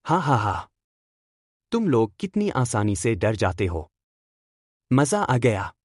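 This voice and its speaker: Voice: medium pitch, big pitch swings, slow, no room sound, studio quality. An actor voicing a mischievous village boy in a cartoon, who teases the villagers and later cries for help. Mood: mocking. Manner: teasing laughter, then panicked shouting. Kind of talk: cartoon voice acting.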